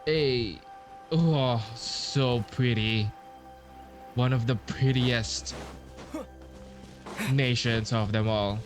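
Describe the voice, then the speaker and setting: A man with a medium-pitched voice comments casually on a video game. He talks slowly and haltingly, in an informal way. Faint game music runs underneath.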